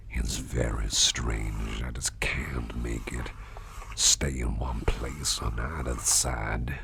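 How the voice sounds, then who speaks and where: A man playing a gentle, doting businessman boss in an ASMR roleplay, murmuring soothingly in a gravelly, breathy half-whisper. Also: very deep voice, noisy background, heavily acted. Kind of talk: ASMR roleplay, personal attention.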